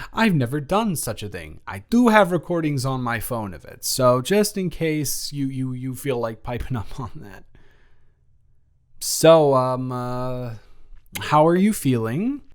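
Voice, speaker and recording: A man acts out an anxious young student in an intimate audio roleplay, talking to the dormmate he has a crush on. He has a mid-pitched male voice. He rambles and stumbles, flustered and awkward, often correcting himself. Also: lively intonation, measured pace, natural voice. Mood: gentle teasing.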